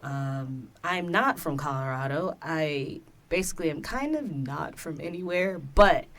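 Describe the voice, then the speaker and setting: A woman in her twenties from the US East Coast talks with her husband in a relaxed podcast chat. Her voice sits low for a woman, and she is chatty and quick to laugh. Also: distant mic. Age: 20s. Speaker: co-host, host's wife. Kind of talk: casual conversational chat.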